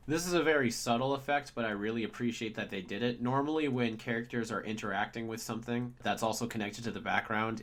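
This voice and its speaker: A man's voice: lowish voice, a bit bright. A man hosting a cartoon review show, giving casual, enthusiastic fan commentary and reactions. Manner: informal, enthusiastic fan talk.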